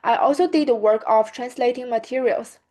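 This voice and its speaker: A young woman whose first language is Mandarin Chinese, giving a prepared student presentation in a steady, earnest way with small restarts. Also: fairly high pitch, brisk.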